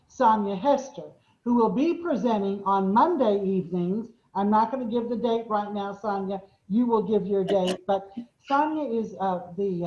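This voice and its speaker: A woman hosting an online program, introducing a presenter in a brisk, self-deprecating way. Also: medium pitch. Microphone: video call. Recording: dull, echoey video call audio.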